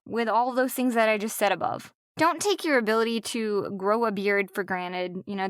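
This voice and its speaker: Smooth-voiced woman, playful and dry-recorded. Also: fairly high pitch, fairly bright tone, dry recording. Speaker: podcast segment presenter. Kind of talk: comedic monologue about beards.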